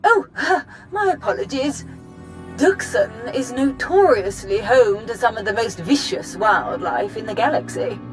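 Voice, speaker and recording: An actress playing an earnest, apologetic scientist in a science fiction game, with careful, formal, technical phrasing. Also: female voice, on the high side, expressive, unhurried, over music, rough recording. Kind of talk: video game cutscene acting.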